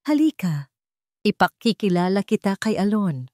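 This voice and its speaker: A woman's voice: mid-range voice, sing-song, normal speed, a little mellow, no room sound, clean studio sound, lightly acted. Woman acting a cold, controlling fiancée. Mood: falsely sweet. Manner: commanding, with veiled threats. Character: cold, controlling, menacing fiancée with falsely sweet manners. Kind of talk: audio drama character dialogue.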